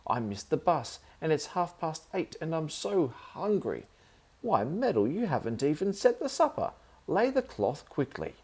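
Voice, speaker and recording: A man's voice: fairly high pitch, sing-song, measured pace, darkish tone, husky, voice pushed up, exaggerated voice. A man voicing a fussy, easily outraged middle-aged aunt in a children's story, exclaiming and nagging indignantly. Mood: flustered, bossy.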